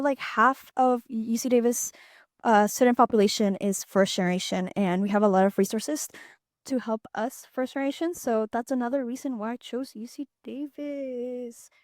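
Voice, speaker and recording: A woman's voice: fairly high pitch, no echo, clean studio sound. A young woman from Peru co-hosting a casual student podcast, chatty and full of "um" and "like". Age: young adult. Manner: chatty, many fillers like "um" and "like". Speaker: podcast co-host, student ambassador. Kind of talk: casual chat.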